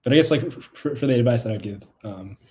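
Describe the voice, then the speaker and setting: A young man chatting casually on a video call panel, halting and often repeating his words. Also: medium pitch, expressive, a little mellow. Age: young adult. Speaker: documentary film subject on a Q&A panel. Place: small room at home, video call.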